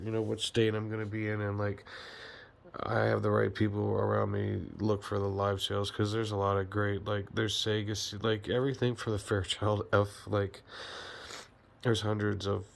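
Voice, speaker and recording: A middle-aged man giving a casual, unscripted channel update as host, in a rambling, halting way with frequent repetition. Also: lowish voice, gravelly. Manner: rambling, halting, repeats himself. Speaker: channel host and retro game store owner.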